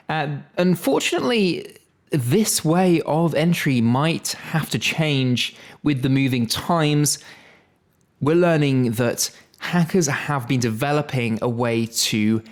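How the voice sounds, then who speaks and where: A man presenting a tech podcast as host, chatty and upbeat in a semi-scripted style. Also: medium pitch.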